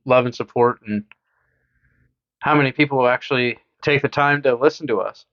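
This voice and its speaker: A young man chatting as podcast co-host, upbeat and quick to laugh. His voice sits at a middle pitch. The mic sounds a bit dull and muffled. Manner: upbeat, laughs often, playful asides. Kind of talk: casual chat.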